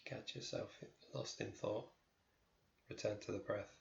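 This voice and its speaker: Low, gravelly man guiding a meditation. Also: flat delivery, roomy sound, lo-fi sound, a little hiss or hum. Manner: measured, with long pauses between short phrases. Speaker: meditation teacher. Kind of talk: guided meditation.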